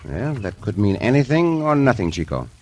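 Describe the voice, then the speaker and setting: An actor playing a determined Mexican cowboy hero in a radio western, with a Mexican accent and formal, commanding phrasing. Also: male voice, medium pitch, sing-song, moderate pace, natural voice. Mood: calm, resolved. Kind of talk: old-time radio western acting. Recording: noisy vintage radio recording.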